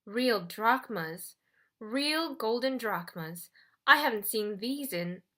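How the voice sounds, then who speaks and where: A woman narrator plays a sinister, elegant male ferryman of the dead from a storybook. He speaks with a British accent in a smooth drawl. His manner is condescending, as if every customer were beneath him. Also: fairly high pitch, lively intonation, moderate pace, fairly bright tone. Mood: greedy, suspicious.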